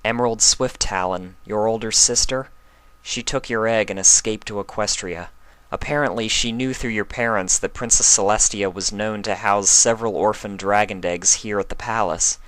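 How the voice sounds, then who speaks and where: A man voicing a caring, anxious young female unicorn with a gentle, emotional, pleading manner. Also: lowish voice, moderate pace, clean tone, voice pushed up, natural voice, low-quality recording. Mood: apologetic. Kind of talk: acted fan fiction reading.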